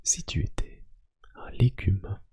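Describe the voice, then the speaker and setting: A man speaking in a soft, hushed ASMR roleplay style, gently asking questions in an unhurried, hesitant, lightly teasing way. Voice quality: hushed, breathy, half-whispered. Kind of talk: soft-spoken ASMR roleplay, one-sided conversation.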